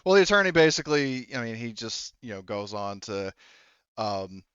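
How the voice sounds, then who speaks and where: A man working as game master, improvising tabletop game narration in a halting, rambling, informal way. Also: medium pitch, big pitch swings, a bit bright, clean tone, no echo, muffled recording.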